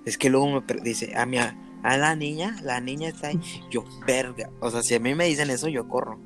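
A man's voice: medium pitch, brisk, faint music behind. A young man hosting a casual podcast chat, slangy and rambling, swearing easily. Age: young adult. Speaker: podcast host.

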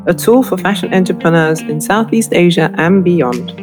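British woman lecturer explaining clearly. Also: on the low side, normal speed, over music. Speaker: fashion marketing lecturer. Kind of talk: instructional talk to camera.

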